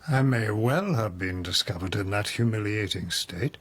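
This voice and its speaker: A man's voice: on the low side, normal speed, husky. An actor in a radio drama playing a drunken nobleman under suspicion, sly and teasing in a languid, ornate, jesting way. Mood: wry reminiscing. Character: drunken nobleman murder suspect, sly, teasing, mock-humble.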